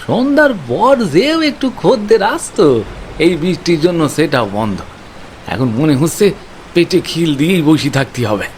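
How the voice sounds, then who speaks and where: A man's voice: on the high side, expressive, normal speed, slight background noise, lightly acted. A man voicing a talkative, grumbling village tea-shop owner who speaks deferentially to customers. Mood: chatty. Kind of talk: animated horror story acting.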